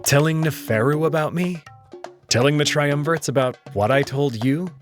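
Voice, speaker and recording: A man's voice: on the high side, moderate pace, soft background music, voice pushed up, lightly acted. A man playing a fed-up young man in a visual novel, blunt and accusing as he interrogates someone. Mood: accusing, tense. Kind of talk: visual novel character acting.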